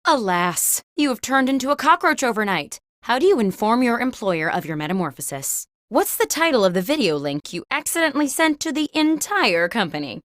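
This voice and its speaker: Female game host: chirpy, wry corporate interviewer, crisp and mock-professional, very lively intonation, dry close sound. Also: a little high, fairly quick, hardly any pauses, dry recording. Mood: upbeat, wry. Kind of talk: scripted game show prompts.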